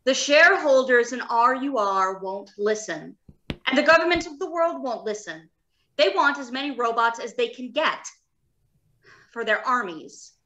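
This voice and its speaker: Earnest, troubled doctor, played by a woman: high, sharp, deliberate radio-drama delivery. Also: expressive. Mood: resigned, grave. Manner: emphatic, deliberate, with dramatic hesitations. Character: earnest, uneasy robot scientist, a doctor. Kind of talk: old-time radio drama acting. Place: home, remote video call.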